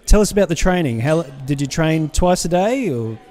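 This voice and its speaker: An Australian man interviews a footballer in a warm, mellow voice that sits slightly high. His questions are brief and direct. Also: lively intonation, normal speed, faint music behind. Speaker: interviewer presenter.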